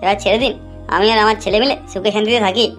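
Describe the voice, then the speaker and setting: A woman's voice: medium pitch, steady tone, rapid-fire, fairly bright tone, close to an everyday voice. A woman voicing a scheming young widowed daughter-in-law in an amateur cartoon, brash and colloquial. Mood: falsely polite. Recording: music under the voice.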